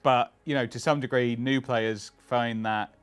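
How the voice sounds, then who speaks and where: A man answering interview questions as a game designer, relaxed and explanatory with frequent "kind of" fillers. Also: mid-range voice, measured pace, clear, resonant tone, soft background music.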